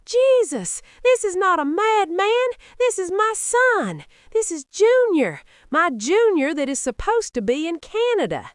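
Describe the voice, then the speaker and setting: A woman's voice: very high-pitched, measured pace, few pauses, dry recording, studio quality, voice pushed up, exaggerated voice. A woman voicing a proud, dramatic Nigerian mother from the market in a first-person folktale, with a Nigerian accent. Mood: anguished. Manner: dramatic, expressive.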